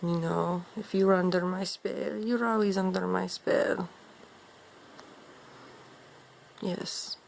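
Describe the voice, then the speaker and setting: A woman playing an ancient female vampire demon in an improvised role play, speaking in a haughty, teasing, seductive way with a gravelly voice. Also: little pitch movement, a little hiss or hum, low-quality recording. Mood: seductive, sly. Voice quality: gravelly, low, creaky. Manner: slow, haughty, teasing, calls listener darling. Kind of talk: improvised in-character role play monologue to viewer.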